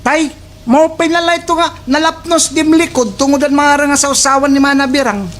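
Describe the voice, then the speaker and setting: A woman's voice: high voice, brisk, close to an everyday voice. A woman playing a cheeky, teasing older child with a Waray accent in slapstick radio comedy, full of punchy mockery. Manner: mocking, punchy exclamations. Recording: faint background noise.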